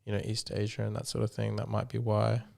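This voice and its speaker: A man interviews in a flat, low, gravelly voice, thinking aloud as he goes. He halts and restarts his sentences with "um" and "like". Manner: reflective, halting, with restarts and "um". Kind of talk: casual interview chat.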